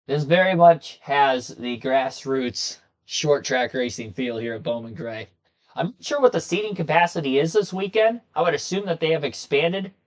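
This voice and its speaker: A young man with a slightly hoarse voice, chatting casually with viewers as he hosts a racing commentary livestream from a desk in a small room. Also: medium pitch. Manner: chatty, talks straight to his viewers.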